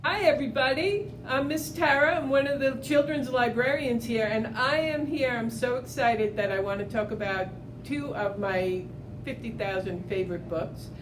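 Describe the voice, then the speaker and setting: A woman, a children's librarian, informally and enthusiastically recommending favorite books. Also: moderate pace. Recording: distant mic, rumbling background noise.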